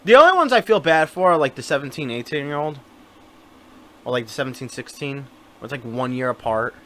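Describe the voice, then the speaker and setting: A man's voice: mid-range voice, expressive, brisk, sharp tone. A man co-hosting a podcast, chatting casually, hesitant and full of "like". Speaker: podcast co-host. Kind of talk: casual chat.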